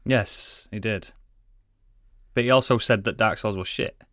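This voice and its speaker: A man's voice: on the low side, a little slow, a bit bright, no room sound, clean studio sound. A man co-hosting a podcast, chatting casually in a blokey, slangy way. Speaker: podcast co-host. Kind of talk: casual banter.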